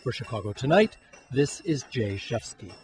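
A man narrating a TV news feature as producer and reporter, in a measured scripted delivery. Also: mid-range voice, animated.

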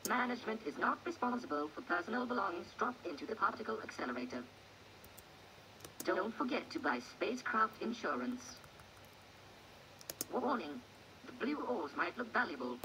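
A woman playing a female info robot in a video game, giving formal, deadpan public announcements for a space colony, heard through laptop speakers. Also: medium pitch, moderate pace, pauses often. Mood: detached, official. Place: played from a laptop, filmed close by phone. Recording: game audio filmed off laptop speakers, noisy.

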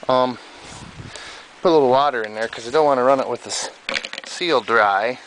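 A man's voice: mid-range voice, normal speed, fairly bright tone, low-quality recording. A man giving casual, off-the-cuff commentary on his own mechanical project in a folksy, rambling way, talking outdoors into a handheld camera. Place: outdoors in an overgrown yard. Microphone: handheld camera mic. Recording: hissy handheld camera recording.

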